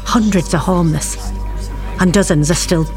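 A woman's voice: fairly low pitch, normal speed, over music, natural voice. An actress playing a bereaved middle-aged mother in an audio drama, confiding her story in a plain-spoken, wry and bitter way. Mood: grieving, earnest. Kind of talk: audio drama narration, intimate monologue.

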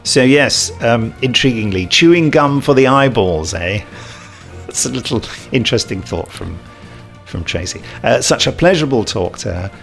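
A man's voice: mid-range voice, lively intonation, moderate pace, vocal fry, a bit bright. A man casually presenting links as a podcast host. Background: music.

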